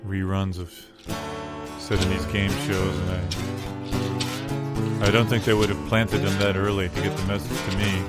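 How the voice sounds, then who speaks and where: A man playing a bewildered, paranoid drifter in a halting, musing comedy monologue over guitar music. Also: deep voice, flat delivery, close to an everyday voice. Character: bewildered paranoid stranded man, waiting for secret signs. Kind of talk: absurdist monologue in improvised audio comedy. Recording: loud guitar music behind. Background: plucked guitar music.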